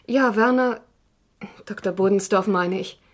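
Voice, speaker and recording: An actress playing a composed, frank woman under police questioning in a crime radio play, measured and articulate. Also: medium pitch, natural voice. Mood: subdued, composed. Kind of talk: German crime radio drama acting.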